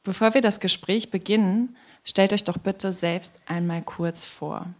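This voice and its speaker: A woman hosting a podcast, reading a scripted introduction and then asking calm questions. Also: mid-range voice, a little slow. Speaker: podcast host.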